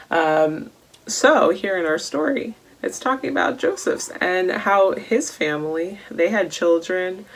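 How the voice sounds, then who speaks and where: A woman reading a Bible story aloud to children in a deliberate way, posing questions to young listeners as she goes. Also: lowish voice, low-quality recording. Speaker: children's storytime reader at a church.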